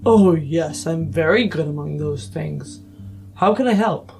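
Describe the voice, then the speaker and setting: A woman playing an aloof, self-assured figure of influence in a roleplayed audio drama, speaking formally with thoughtful pauses. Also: low-pitched, creaky, darkish tone. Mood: cool, self-assured. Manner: measured, formal, pausing for thought. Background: music.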